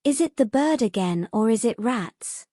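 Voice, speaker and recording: A woman's voice: a little high, lively intonation, normal speed, dark tone, dry recording, studio quality. A woman playing a timid, nervous young mermaid in a fantasy audio drama, anxious, wary and pleading.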